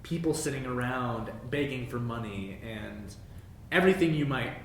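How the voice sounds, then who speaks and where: A man running a tabletop game as game master, narrating in a casual, informal and self-deprecating way. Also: moderate pace. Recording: roomy echo, low rumbling background noise.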